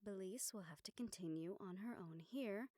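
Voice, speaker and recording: Woman acting composed, gentle noblewoman, close and dry. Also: medium pitch, no room sound, close to an everyday voice. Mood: tender, sincere. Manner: measured, gentle, formal phrasing with deliberate pauses. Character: composed young noblewoman soothing her worried dragon bodyguard. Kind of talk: intimate audio roleplay acting.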